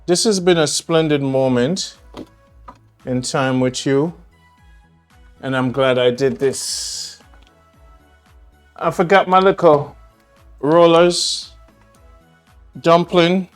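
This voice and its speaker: A man's voice: a little high, slow pace, pauses often, clean tone, soft background music. A man with a Jamaican accent, from Jamaica, hosting a casual cooking demonstration in his home kitchen, chatting and rambling with homely asides as he works. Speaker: cooking show host.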